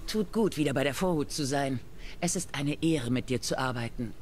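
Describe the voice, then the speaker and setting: A woman's voice: a bass voice, normal speed, a little mellow. A hardened female soldier from a video game. She speaks in a clipped, dutiful military way, steely and resolute, over some background noise. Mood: formal, earnest. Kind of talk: dramatic video game dialogue.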